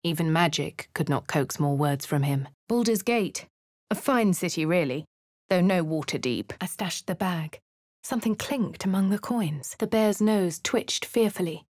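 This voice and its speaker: An actress with a posh English accent playing a composed adventurer in a game, speaking in a dry, measured, lightly sardonic way. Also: female voice, lowish voice, animated, darkish tone, no room sound. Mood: wry. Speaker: player character. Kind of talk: game voice lines. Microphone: studio mic.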